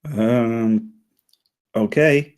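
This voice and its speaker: A man's voice: medium pitch, extremely slow, darkish tone, clear, resonant tone. A man playing a meek, hesitant male spaceship crewman in a comedy table read. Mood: unsure. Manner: hesitant, unsure.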